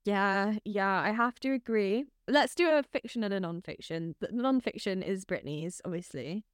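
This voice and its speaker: A chatty woman co-hosting a podcast, gushing with enthusiasm and peppering her talk with "like" and casual swearing. Her voice sits at an ordinary middle pitch. The sound is dry and close, with no room around it. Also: normal speed, clean tone, dry recording. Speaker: podcast co-host. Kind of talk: casual chat.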